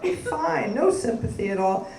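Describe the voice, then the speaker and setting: A woman from Canada doing a stand-up comedy set, delivering deadpan punchlines and bantering with the crowd on a club stage. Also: a little high, moderate pace, gravelly. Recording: roomy live club sound from a distance with crowd laughter.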